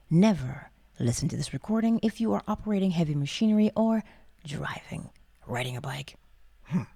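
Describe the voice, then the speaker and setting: A woman leading a guided sleep meditation in a soft, breathy, often near-whispered voice, soothing, unhurried and gently encouraging. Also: fairly low pitch, dry recording.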